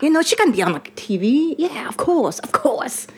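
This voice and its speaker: A woman's voice: high voice, sing-song, moderate pace, slight background noise, pitched above the performer's own voice. A woman imitating her own mother, a proud, pushy parent who speaks in broken English. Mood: pushy pride.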